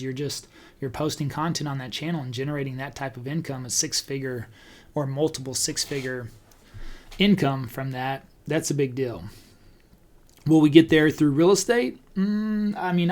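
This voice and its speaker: Guy hosting a podcast, slightly high voice, chatty, kinda thinking aloud. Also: normal speed. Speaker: podcast host and real estate agent. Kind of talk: casual talk about online video marketing.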